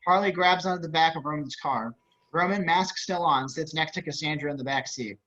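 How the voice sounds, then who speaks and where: Male stage-directions reader, slightly high voice, scripted narration, lo-fi online call sound. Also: brisk, sharp tone. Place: indoors, on a video call. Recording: dull video-call audio.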